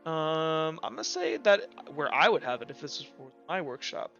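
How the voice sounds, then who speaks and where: A man with a bright, slightly high voice improvises as game master. He rambles and halts, thinking aloud through the scene, on a dull lo-fi recording with music underneath. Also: very animated, normal speed. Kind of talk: improvised narration of a tabletop roleplaying game.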